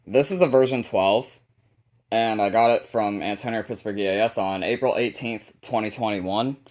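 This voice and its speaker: Low-voiced man, casual and halting. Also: darkish tone. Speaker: hobbyist video host showing a radio collection. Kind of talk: casual unscripted product showcase.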